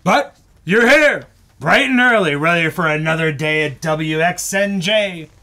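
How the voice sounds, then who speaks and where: A man playing a world-weary, avuncular radio station boss with a wry, halting delivery in an old-time radio drama. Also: on the high side, sharp tone. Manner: wry, hesitant pauses, calls people kid.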